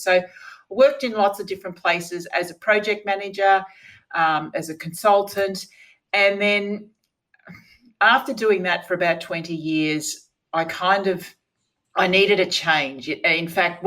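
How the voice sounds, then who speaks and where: A woman's voice: on the low side, fairly bright tone, poor audio. A middle-aged woman with an Australian accent, chatting as a guest on an interview podcast, with fillers and self-corrections along the way. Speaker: guest, naturopath. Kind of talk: casual interview chat.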